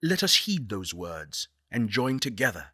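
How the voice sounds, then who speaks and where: A crisp, forceful male voice, fairly low and recorded very dry, playing a visionary leader of a space program in a game. He is solemn and eloquent. Each line is delivered as deliberate, persuasive oratory. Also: very animated, no room sound, heavily acted. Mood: reverent, visionary.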